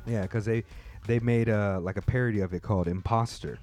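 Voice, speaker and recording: A man's voice: on the low side, a little fast, darkish tone, faint music behind. A man hosting a podcast, talking in a loose, slangy, joking way. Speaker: podcast host. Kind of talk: casual interview chat.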